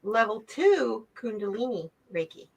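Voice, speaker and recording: A woman guest in a casual video interview, telling her story in an animated way and laughing often. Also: a little high, unhurried, vocal fry, breathy edge.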